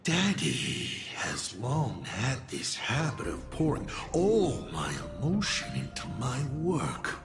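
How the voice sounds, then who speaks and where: A man voicing a mocking, theatrical villain in a video game, grandiose and savoring his words in a creaky voice. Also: medium pitch, huge pitch swings, slow, few pauses, soft background music, exaggerated voice. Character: theatrical male villain, mocking artist of destruction, fake father.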